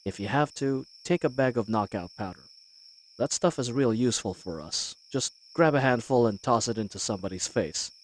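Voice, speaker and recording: A man's voice: medium pitch, moderate pace, lightly acted. An actor playing a cocky but wary male bandit leader in a fantasy audio roleplay, with a folksy, wry way of talking. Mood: hushed, commanding. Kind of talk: scripted fantasy audio roleplay, addressing the listener.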